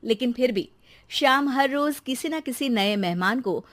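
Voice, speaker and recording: A woman's voice: high voice, expressive, normal speed, fairly bright tone, no echo. A woman narrating a scripted moral story for a cartoon in a storytelling style.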